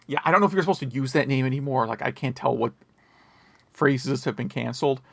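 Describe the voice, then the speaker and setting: A man's voice: mid-range voice, quick pace, vocal fry, a bit bright, no echo. A man hosting a podcast, chatting casually about the news and wandering into conversational asides. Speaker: podcast host. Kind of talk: casual retro gaming news chat.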